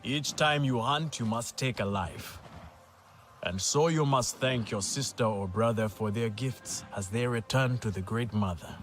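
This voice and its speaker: An actor playing a brusque but reverent alien hunting mentor in a video game, curt and instructive. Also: male voice, lowish voice, close to an everyday voice. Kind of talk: dramatic video game acting.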